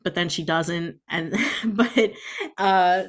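A woman's voice: on the low side, slow pace. A woman co-hosting a podcast, giving informal, opinionated reviews in casual conversation. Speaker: podcast co-host. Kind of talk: casual book-review chat.

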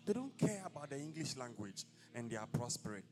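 Ghanaian preacher with a declamatory delivery. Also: male voice, mid-range voice, big pitch swings, fairly quick, a little mellow, faint music behind. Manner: declamatory, prays in rising bursts then drops to casual asides. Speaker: apostle preaching. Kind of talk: sermon. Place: church service.

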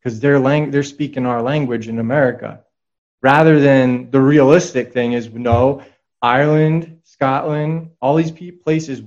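A man's voice: mid-range voice, fairly even intonation, unhurried, darkish tone, muffled recording. A man talking casually as a guest on a podcast, rambling with frequent restarts and reading out quotes. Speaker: guest researcher and author.

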